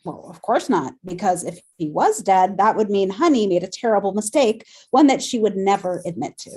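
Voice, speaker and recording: A woman voicing a smug, sarcastic pet animal in a comedy table read, with a husky voice. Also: mid-range voice, expressive, moderate pace, dark tone.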